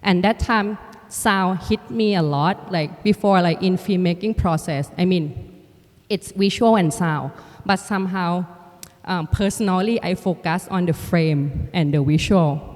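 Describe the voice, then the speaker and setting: A Thai woman artist and filmmaker, a native Thai speaker, talking reflectively in a halting way with many restarts and fillers. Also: lowish voice, darkish tone. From Thailand. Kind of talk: reflective conversation. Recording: hall with long reverb.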